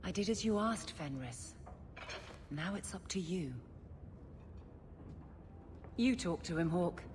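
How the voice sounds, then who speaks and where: An actress playing a stern, dutiful captain of the city guard in a video game, brisk and authoritative. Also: female voice, on the low side, expressive. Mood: firm, businesslike. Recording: faint background noise.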